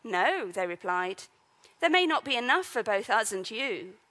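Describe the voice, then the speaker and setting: A woman's voice: a little high, sing-song, normal speed, bright, forceful tone. A woman leading a church service, reading scripture, introducing the guest preacher, and praying in an earnest manner.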